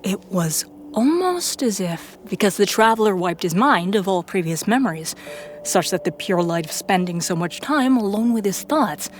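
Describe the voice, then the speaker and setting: A woman's voice: medium pitch, expressive, moderate pace. Woman narrating over noticeable noise. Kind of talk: scripted first-person story narration. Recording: clear background noise and ambience.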